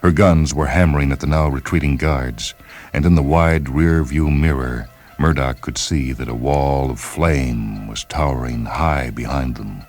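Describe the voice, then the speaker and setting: A man narrating a short story in a deliberate, dramatic literary delivery, with music behind him. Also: rumbling low, a little mellow. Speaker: narrator and reader of short stories.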